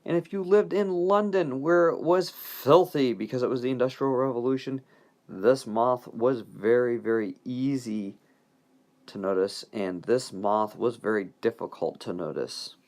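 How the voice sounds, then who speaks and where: A man's voice: mid-range voice, a little slow, darkish tone. A man teaching an informal recorded lesson, talking in a folksy, conversational way with playful asides. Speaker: biology teacher.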